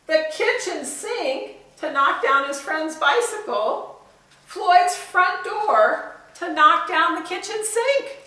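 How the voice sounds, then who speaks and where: A woman reads a children's story at an unhurried, slow pace in a fairly high voice. She is expressive and chats to her young listeners between sentences. The recording is very echoey. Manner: expressive, chatty asides and questions to young listeners. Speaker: volunteer storyteller. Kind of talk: read-aloud picture book storytime for children. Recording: echoey room, far from the mic.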